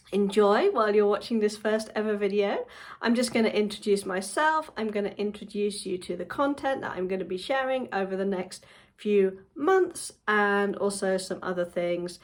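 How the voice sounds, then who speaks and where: A woman in her forties, a book coach, chatting casually to camera at home with frequent ums. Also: medium pitch. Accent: non-Japanese English accent. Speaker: book coach and channel host.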